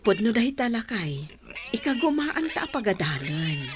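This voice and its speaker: A woman's voice: on the high side, sing-song, normal speed, slight background noise. A woman with an Ilocano accent playing a caring but scolding village mother in a radio drama. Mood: hopeful.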